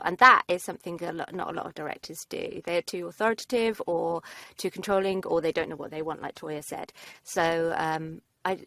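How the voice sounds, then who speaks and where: Woman in her 30s, lowish voice, casual chat, very dry booth sound. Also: a bit bright, no room sound. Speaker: actor, interview guest. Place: foam-lined vocal booth. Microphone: studio mic with headphones.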